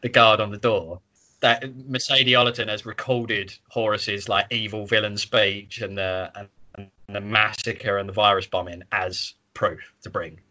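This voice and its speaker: A man talks casually as a podcast host discussing a book. His voice is bright and punchy, and his manner is irreverent and sweary. The audio is a little dull and lo-fi. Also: lowish voice, little pitch movement, moderate pace.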